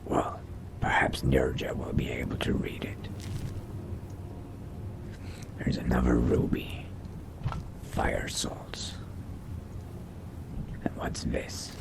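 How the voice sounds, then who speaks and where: A man voicing a brooding, curious young vampire adventurer in a video game roleplay, musing to himself in a slow, hushed, gravelly whisper. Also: monotone, heavily acted. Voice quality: very deep, breathy, gravelly whisper. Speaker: roleplay gamer.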